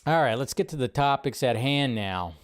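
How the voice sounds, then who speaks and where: A man's voice: mid-range voice, lively intonation, normal speed. A man hosting a live movie news stream, talking casually in a loose, rambling way with lots of fillers.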